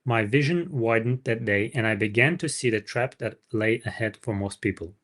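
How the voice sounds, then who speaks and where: A man's voice: fairly low pitch, moderate pace, fairly bright tone. A man reading a book aloud as a livestream host, with informal asides to listeners.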